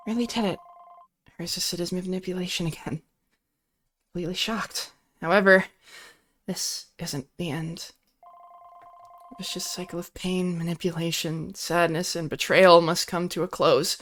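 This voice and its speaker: A woman voicing a defiant young heroine with an indignant, determined manner, reading a visual novel aloud. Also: on the low side. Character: betrayed young woman hero, defiant and resolute.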